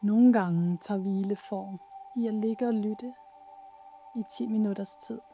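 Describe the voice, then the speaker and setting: A woman leading a guided yoga nidra meditation in a soothing, soft, unhurried way with pauses. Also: medium pitch, faint music behind.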